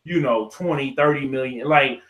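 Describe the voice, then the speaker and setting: An American man co-hosting a casual podcast debate, talking in slang, often halting and repeating himself. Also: medium pitch, fairly bright tone, echoey room, a little hiss or hum. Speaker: podcast co-host.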